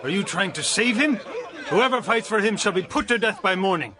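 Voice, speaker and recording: Defiant high-voiced Israelite father. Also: animated, a little fast, crowd noise. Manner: challenging, scornful. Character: Joash, defiant father facing a hostile crowd. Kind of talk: dramatized audio Bible acting.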